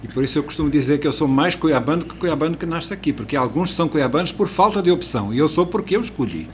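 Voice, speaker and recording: A man's voice: on the high side, expressive, quick pace, slight background noise. A man in his sixties from Portugal with a European Portuguese accent, a podcast guest telling his life story with restarts and hesitant fillers. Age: 60s. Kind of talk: casual podcast chat.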